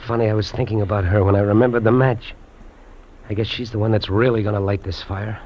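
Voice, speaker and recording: A man's voice: on the low side, steady tone, fast, slight background noise, lightly acted. An actor playing a weary, freezing man in 1950s radio drama, swinging between resignation and desperate hope. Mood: weary, resolute. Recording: muffled old radio recording, music behind.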